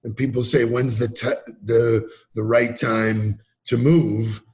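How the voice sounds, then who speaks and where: A man talking as a podcast guest in a casual interview, animated and emphatic, acting out quotes as he tells stories. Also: mid-range voice, takes it slowly. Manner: animated, emphatic storyteller who acts out quotes. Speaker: podcast guest, sales trainer.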